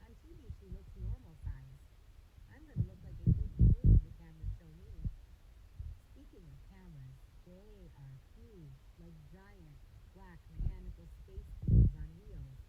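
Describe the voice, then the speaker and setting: A woman's voice: on the low side, animated, normal speed, phone-quality sound, noisy background. A woman reading a children's novel aloud as a teacher would for students, in a storybook narration style.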